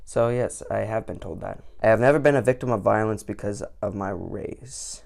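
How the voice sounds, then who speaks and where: A man's voice: fairly low pitch, normal speed, gravelly, darkish tone. A teenage boy giving sarcastic commentary on an online quiz, with mock-indignant outbursts. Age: late teens. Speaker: vlogger.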